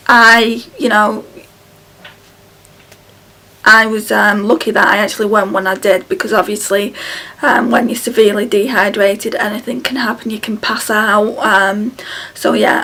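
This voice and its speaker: A woman in her thirties chatting to camera at home, her voice hoarse and croaky from illness, tired and halting with many ums. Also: mid-range voice, pushed and bright. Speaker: beauty vlogger. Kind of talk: casual chat to camera. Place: small room at home, indoors. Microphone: camera close in front.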